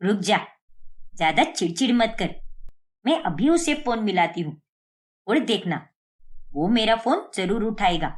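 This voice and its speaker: Stern older mother-in-law, played by a woman, cartoon drama. Scornful, dismissive, scolding, medium-pitched voice. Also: expressive, a little slow, pauses often, lightly acted. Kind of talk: Hindi cartoon moral-story voice acting.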